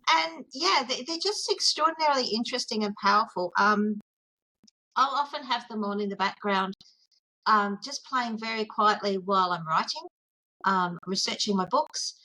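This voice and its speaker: An Australian woman, a mindful arts therapist, chatting as an interview guest in an earnest, gently enthusiastic way, often pausing to find her words over a video call. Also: medium pitch, rough recording. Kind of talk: casual interview chat.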